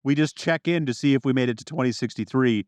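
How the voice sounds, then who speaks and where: A man's voice: medium pitch, fast talker, darkish tone, no echo. A man co-hosting a casual podcast chat, bantering and asking questions. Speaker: podcast co-host.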